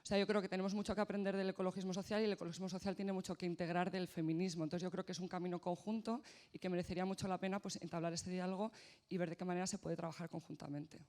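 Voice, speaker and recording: A man making thoughtful, steady remarks from the floor of an assembly. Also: high voice, racing. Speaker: assembly participant.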